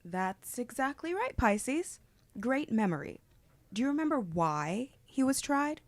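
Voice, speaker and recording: A woman's voice: fairly high pitch, very animated, measured pace, dry recording. A woman playing an encouraging class instructor in an amateur audio drama, speaking in an orderly classroom manner. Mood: brisk, encouraging. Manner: clear, orderly classroom address.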